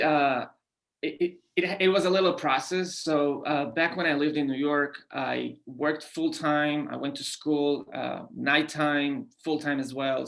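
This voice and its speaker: A man from Israel with an Israeli accent, a company founder answering podcast questions in halting speech with many fillers and restarts. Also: a little high, vocal fry, fairly bright tone. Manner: halting, frequent "uh" fillers and repeated words. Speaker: guest founder. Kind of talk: podcast interview.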